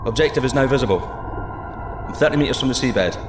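An actor playing a plucky, excitable male astronaut in a sci-fi audio drama, breezy and cocky between clipped technical reports. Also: medium pitch, brisk, echoey room, background noise. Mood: calm, focused. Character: plucky, excitable male astronaut piloting a deep-sea microsub.

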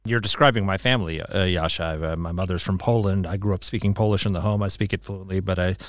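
A man with a lowish voice hosts a panel discussion, moving quickly and making his points assertively. The sound is dry and close. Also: steady tone, no room sound. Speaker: host.

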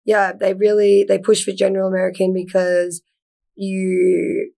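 A woman's voice: medium pitch, completely flat delivery, a little slow, creaky, mellow. A young woman from Australia, an actor talking as a guest in a casual interview, chatty and full of "like". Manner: chatty, lots of "like", laughs easily.

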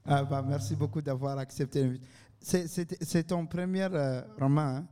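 A man's voice: fairly high pitch, fairly even intonation, normal speed, warm tone. A man hosting a casual live panel interview, with a jovial, teasing manner. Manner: teasing, jovial, laughs often. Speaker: host moderating a panel discussion. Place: live recording in a university bar. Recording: reverberant room, live event recording.

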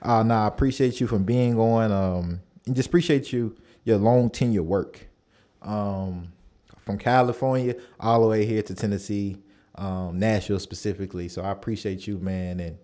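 A man in his thirties hosts a conversational podcast in a mellow, fairly low voice. He speaks in a relaxed, affirming way, with plenty of fillers and loose phrasing. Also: moderate pace. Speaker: podcast host. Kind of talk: casual conversational interview.

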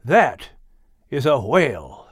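A man voicing a very old, patient great-grandfather who speaks slowly and solemnly in an audiobook story. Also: medium pitch, big pitch swings, slightly rough, no echo, studio quality, full character voice. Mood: thoughtful. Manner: slow, deliberate, solemn.

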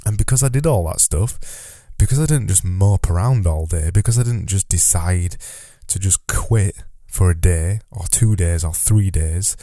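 A man's voice: fairly low pitch, mellow, no room sound, clean studio sound. A man with a cold and a nasal, stuffy voice, hosting a solo podcast in a rambling, self-deprecating but motivational way. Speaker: podcast host.

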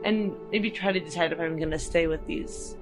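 A woman's voice: lowish voice, moderate pace, rough recording. A woman playing a tabletop game, casually describing her character's choices in the first person. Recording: prominent music under the voice. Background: background music.

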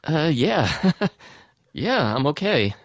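A man playing an unsure, excitable teenage boy hero in an audio drama, casual and hesitant, with a husky voice. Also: on the high side, slow, no echo, clean studio sound, heavily acted. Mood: incredulous.

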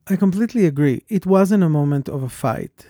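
A man with a foreign accent co-hosting a podcast, talking in an earnest and reflective way that turns animated when he tells a story. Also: on the high side, a little fast, no room sound, studio quality. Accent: foreign accent, origin unstated. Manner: earnest, thoughtful, animated when telling stories. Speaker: podcast co-host. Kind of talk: reflective conversation.

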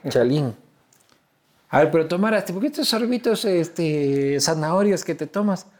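Higher-voiced Ecuadorian man, informal interviewer. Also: normal speed. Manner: informal, probing, teasing. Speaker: interviewer, host. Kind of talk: casual, slangy political interview.

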